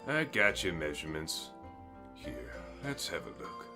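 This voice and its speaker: Man playing a deep, gruff, blunt village smith. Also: big pitch swings, a little slow, music in the background, exaggerated voice. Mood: gruff, kindly. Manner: blunt, folksy. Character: gruff, blunt, kindly male fantasy smith and shopkeeper.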